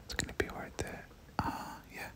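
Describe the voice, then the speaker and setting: A person whispering casual, slangy ASMR gameplay commentary, muttering reactions and repeating short phrases. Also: fairly quick. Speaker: gaming video creator.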